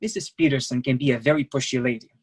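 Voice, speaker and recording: An actor in a staged play reading, playing a resigned, candid immigrant massage therapist who speaks in clipped, non-native phrasing, matter-of-factly. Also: male voice, medium pitch, steady tone, fast, breathy edge, close to an everyday voice. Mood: resigned, explaining.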